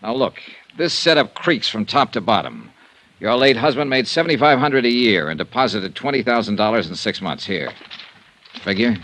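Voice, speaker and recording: An actor playing a tough, wry insurance investigator in 1950s radio detective style, clipped and sardonic. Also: male voice, medium pitch, lively intonation, moderate pace, a bit bright, close to an everyday voice. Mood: sardonic, probing. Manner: clipped, hard-boiled, sardonic. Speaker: lead actor and narrator.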